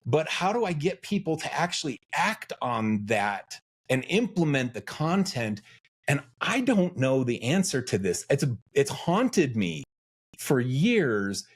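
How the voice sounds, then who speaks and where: A man's voice: mid-range voice, sing-song, measured pace, breathy edge. A middle-aged man from the United States, a guest on an interview podcast, talking in an earnest, reflective, self-questioning way. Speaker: podcast guest, freelance educator. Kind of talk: conversational interview.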